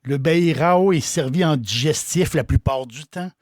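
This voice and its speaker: A man's voice: on the high side, unhurried, dry recording, studio quality. Folksy Québécois innkeeper, man. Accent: Québécois French. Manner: folksy, repeats words and phrases. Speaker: innkeeper host. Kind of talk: casual talk about a liqueur.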